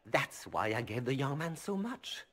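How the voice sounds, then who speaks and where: Scheming merchant, husky, ingratiating, game voice. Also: male voice, mid-range voice, big pitch swings, moderate pace, a bit bright. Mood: smug, ingratiating. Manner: smooth, ingratiating, formal. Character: scheming male merchant, oily and polite. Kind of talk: video game voice acting.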